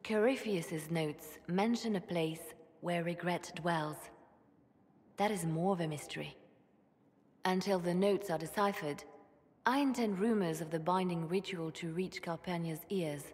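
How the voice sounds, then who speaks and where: An actress playing a calculating female spymaster in a fantasy game, measured and formal. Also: lowish voice, darkish tone, close to an everyday voice. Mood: cool, businesslike. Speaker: voice actor. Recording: long hall reverb.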